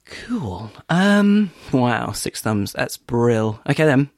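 A man's voice: mid-range voice, big pitch swings, takes it slowly, no echo, studio quality. A man co-hosting a podcast with casual, conversational banter. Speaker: podcast co-host.

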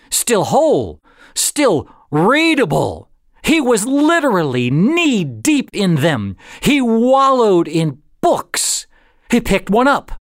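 A man reads a short story aloud in a dramatic, deliberate style. His pitch moves widely from phrase to phrase, and he takes his time, leaving pauses for effect. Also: no room sound, studio quality. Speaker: audiobook narrator. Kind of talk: dramatic scripted story narration.

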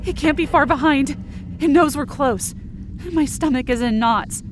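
A woman's voice: high voice, a little slow. A woman performing first-person scripted fiction narration in a suspenseful, intense dramatic manner. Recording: music bed under the voice. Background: dramatic background music.

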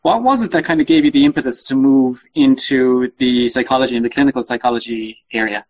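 A man interviews a guest as a podcast host. His voice is husky and mid-pitched, and he phrases his questions casually and politely. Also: quick pace, rough recording.

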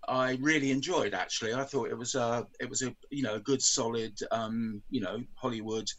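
A man's voice: medium pitch, fairly even intonation, fairly bright tone. An older man speaking as a podcast guest over a video call, thoughtful and hesitant, with frequent ums. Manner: hesitant, many ums and pauses. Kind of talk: casual video-call chat.

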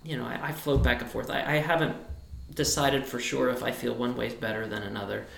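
High-voiced man with a gravelly edge. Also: fairly even intonation, brisk, fairly bright tone, reverberant, slight background noise. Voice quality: high tenor speaking voice. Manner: thoughtful, rambling with restarts. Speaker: boys choir director. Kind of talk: relaxed interview answers.

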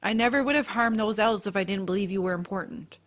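A woman's voice: medium pitch, fast talker, close to an everyday voice. Earnest, solemn wolf-tribe warrior woman, acted. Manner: formal, earnest. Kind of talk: audio drama acting.

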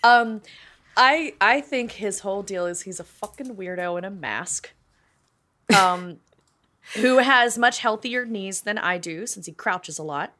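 A woman's voice: medium pitch, a little slow, bright, forceful tone. A woman co-hosting a podcast, chatting casually in an irreverent, reactive way. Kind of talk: casual chat.

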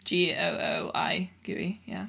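A woman's voice: on the low side, steady tone, takes it slowly, creaky, a bit bright, husky. A woman hosting a podcast in casual banter, with a jokey, self-mocking manner. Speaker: podcast host.

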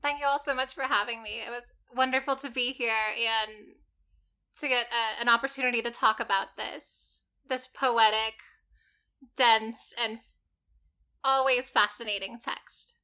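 A woman's voice: on the high side, steady tone, a little slow, bright, forceful tone. A woman who teaches college, talking as a podcast guest; articulate and wordy, with stammered restarts. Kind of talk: casual podcast chat.

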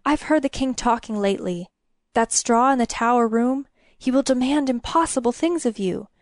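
A woman's voice: on the high side, moderate pace, no room sound, studio quality, lightly acted. Woman as an anxious, pleading young knight. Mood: urgent pleading. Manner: pleading, urgent.

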